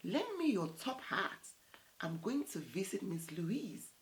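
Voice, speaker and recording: A woman as an eager, animated folktale suitor. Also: mid-range voice, takes it slowly, slight background noise, poor audio. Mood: eager, asking. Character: poor, confident, eager male folktale suitor.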